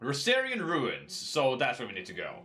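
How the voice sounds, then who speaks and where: A man's voice: mid-range voice, wildly swooping pitch, normal speed, pushed and bright. A man hosting a casual let's play, chatting, laughing and reading game text aloud. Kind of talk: casual gameplay commentary. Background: game music.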